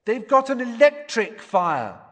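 A polite young boy from a storybook, as a man acts him out. He speaks earnestly in a high, animated voice, explaining and calling out clearly. The recording is dry. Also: measured pace, no room sound, heavily acted. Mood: urgent, calling out.

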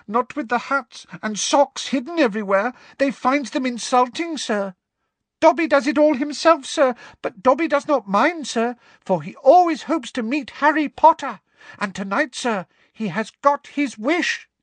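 A tiny, squeaky house elf, very high and excitable, his voice leaping up and down as he fawns over his hero. He sounds humble, eager and adoring, and the dry recording keeps him close. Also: moderate pace, dry recording. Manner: eager, humble, speaks of himself in third person.

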